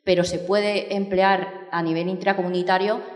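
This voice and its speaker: Woman filmmaker, thinks out loud, lots of "eh", echoey big room. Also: on the low side, flat delivery, moderate pace. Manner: reflective, many fillers and restarts. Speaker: documentary filmmaker. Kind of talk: thoughtful interview talk. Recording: echoey room with long reverb.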